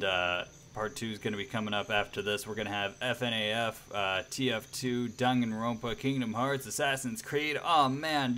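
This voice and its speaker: A man's voice: lowish voice, bright, forceful tone. A man hosting a radio-style livestream, chatting casually and enthusiastically to viewers in a rambling way.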